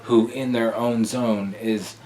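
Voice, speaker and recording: A young man with a deep voice, answering interview questions in an earnest, halting way with many restarts and fillers. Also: fairly even intonation, darkish tone, poor audio. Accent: American. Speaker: rapper, interview guest.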